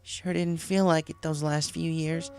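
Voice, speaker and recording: A man voicing a hurt, defiant young female pegasus pony in a storybook reading, gravelly and bitterly confiding. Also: on the high side, flat delivery, measured pace, darkish tone, soft background music, voice pushed up. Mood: anguished. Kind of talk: acted storybook dialogue.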